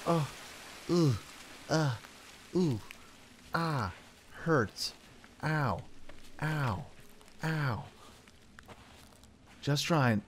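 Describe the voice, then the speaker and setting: A man's voice: fairly high pitch, expressive, extremely slow, pauses often, slight background noise. A man hosting a gaming video, thinking aloud in casual, playful commentary with frequent exclamations. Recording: game music under the voice. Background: game music.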